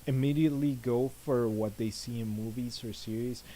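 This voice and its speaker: A man's voice: mid-range voice, fairly even intonation, moderate pace, mellow, poor audio. A man co-hosting a podcast, talking casually with frequent fillers and restarts. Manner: hesitant, stammering, frequent fillers. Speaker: podcast co-host. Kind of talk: casual chat.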